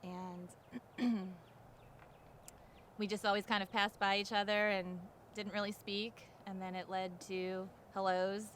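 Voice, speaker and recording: A woman speaks in a casual interview manner, recounting things conversationally. Her pitch moves very little, staying flat and even. There is faint ambient noise behind her voice. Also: slow pace. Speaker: fossil hunter. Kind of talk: casual documentary interview storytelling.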